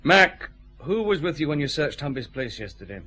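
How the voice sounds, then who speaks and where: An actor playing an authoritative detective chief inspector in a radio drama, with a clipped, commanding delivery. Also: male voice, on the high side, big pitch swings, normal speed, sharp tone. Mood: stern. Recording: clear background noise, ambience.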